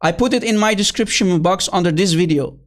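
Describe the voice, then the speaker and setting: Apologist host, man with a high voice, combative and scornful, plays to his online viewers from his computer. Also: fairly quick. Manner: heated, scornful, calls opponents names; repeatedly addresses viewers as guys and brother. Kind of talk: combative online debate commentary.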